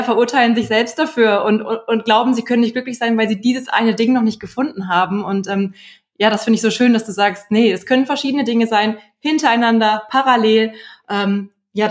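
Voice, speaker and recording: A woman hosting an interview, asking questions with lots of fillers and responding with gentle affirmation. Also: fairly high pitch, fairly even intonation, a little fast. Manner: warm, affirming, many fillers. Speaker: interview host. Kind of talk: casual interview talk.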